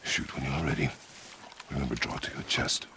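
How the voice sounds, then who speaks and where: A stern warrior father with an extremely deep, gravelly voice who gives short, commanding orders. Loud ambient game noise runs beneath him. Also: steady tone, normal speed. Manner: terse, commanding, few words.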